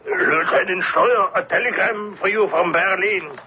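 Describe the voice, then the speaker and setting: An actor playing a deferential, hesitant German guard in a radio drama. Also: male voice, high voice, unhurried. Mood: formal. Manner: hesitant, flustered. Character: nervous, deferential German guard, subordinate soldier. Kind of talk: old-time radio drama acting. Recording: noisy dull lo-fi radio broadcast recording.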